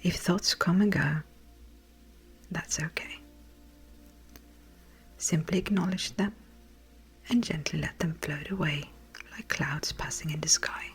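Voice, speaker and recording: A woman with a breathy, whispery voice gently and soothingly guides a meditation in a measured way. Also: deep voice. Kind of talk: slow guided meditation narration. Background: music.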